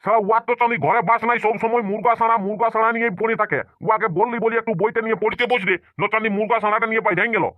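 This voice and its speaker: A woman's voice: a little high, steady tone, racing, hardly any pauses, no echo, pitched below the performer's own voice, natural voice. A woman voicing a sleepy, grumbling old village uncle in a Purulia Bengali accent, heard through a tinny, phone-like sound. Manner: grumbling, scolding.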